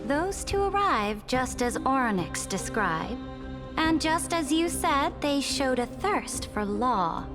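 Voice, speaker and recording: Haughty, high-pitched imperial ruler. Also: female voice, big pitch swings, slow, exaggerated voice. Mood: cool, commanding. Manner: pompous, formal, commanding. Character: imperious, haughty female Imperator who calls allies her subjects. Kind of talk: dramatic video game voice acting. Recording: music behind the voice.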